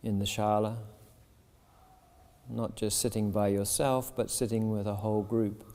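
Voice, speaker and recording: A man with a low, very mellow voice guides a meditation as a yoga teacher. He speaks in short, deliberate, soothing phrases with pauses between them. His words ring out in the long reverb of a large hall. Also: level tone. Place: yoga shala with a seated group.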